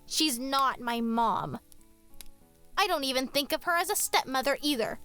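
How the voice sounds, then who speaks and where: A spoiled young girl with a high, bright voice. She is vain, snobbish and quick to sulk. It is horror audio drama with light music underneath. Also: smooth. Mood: scornful. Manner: snobbish, petulant. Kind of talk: horror radio drama acting.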